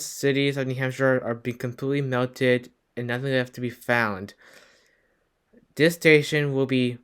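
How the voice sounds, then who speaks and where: A man's voice: mid-range voice, a bit bright, smooth, natural voice. A man playing a local news anchor in an amateur disaster drama, announcing with slight stumbles. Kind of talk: amateur emergency news broadcast acting.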